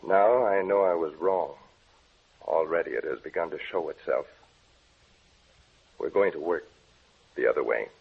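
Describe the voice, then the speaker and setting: A brooding, obsessed man of science, speaking low and husky. His delivery is grave, intense and melodramatic in the old radio-play manner. The sound is that of a muffled vintage broadcast. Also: a little slow, pauses often, exaggerated voice. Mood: grim resolve. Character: brooding, obsessed male scientist plotting to kill his beloved. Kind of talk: old-time radio drama acting.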